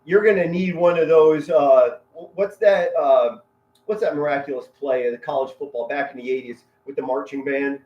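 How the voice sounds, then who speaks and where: A man hosting a live sports play-by-play stream, talking as a loud, excitable fan with dramatic, colorful phrasing and emphatic pauses. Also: on the high side. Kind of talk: loud, excitable fan commentary mixed with chat. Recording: roomy, echoey, dull lo-fi stream audio.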